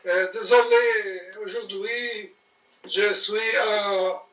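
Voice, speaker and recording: A Brazilian man speaking French as a learner, hesitant and halting. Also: sits high, steady tone, fairly bright tone, roomy sound, far from the mic, rough recording. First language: Portuguese. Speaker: language learner.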